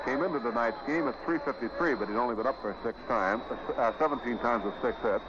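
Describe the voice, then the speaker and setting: An American man doing 1950s radio baseball play-by-play in short, measured, clipped phrases. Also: medium pitch, highs cut off. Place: ballpark radio broadcast. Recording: noisy, band-limited 1950s radio broadcast.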